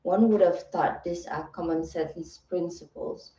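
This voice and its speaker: A woman speaks slowly and reflectively in a soft-edged, mellow, soothing voice, like a host encouraging viewers on a livestream. She is earnest and pauses to check that listeners follow. The sound is slightly muffled, with room echo around her. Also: on the low side, steady tone. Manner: earnest, reflective, rhetorical check-ins like "right?" and "okay". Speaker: livestream host and motivational content creator. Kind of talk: live motivational talk, replying to viewers. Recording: roomy echo, distant mic, slightly muffled.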